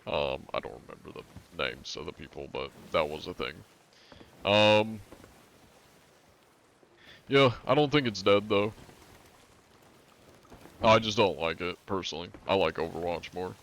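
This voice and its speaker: A man's voice: low-pitched, lively intonation, a little slow, vocal fry, pauses often, fairly bright tone, slight background noise. A man in his twenties streaming a game, chatting casually and reading out chat with lots of fillers. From northern United States. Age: 20s. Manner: rambling, filler-heavy, reading chat aloud. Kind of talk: casual live-stream chat while gaming.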